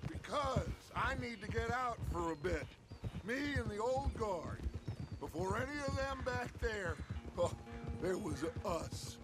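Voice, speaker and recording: A high-pitched, grandiose outlaw leader. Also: male voice, animated, measured pace, over music. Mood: hopeful, commanding. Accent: American. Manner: grandiloquent, persuasive, theatrical oratory. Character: charismatic, grandiose middle-aged male outlaw gang leader. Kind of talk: dramatic western game cutscene acting.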